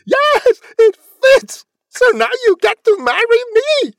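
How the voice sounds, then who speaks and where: Pushy ugly stepsister, screechy n shrill, crazy high, fairy tale villain. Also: sing-song, slow pace, no room sound, studio quality, full character voice. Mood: triumphant. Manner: shrill, insistent.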